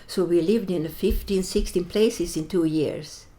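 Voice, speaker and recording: An older woman from Sweden, a native Latvian speaker, answering interview questions reflectively in halting English as she searches for words. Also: fairly low pitch, mellow, a little hiss or hum, poor audio. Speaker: interview guest.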